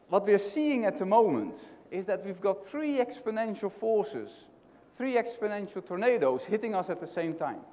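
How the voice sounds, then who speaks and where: A man's voice: high voice, darkish tone. Dutch-accented man speaking in a hall. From Netherlands. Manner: conversational, restarts often, asks the audience questions. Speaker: conference speaker. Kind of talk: keynote talk. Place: large hotel conference hall. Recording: hall reverb.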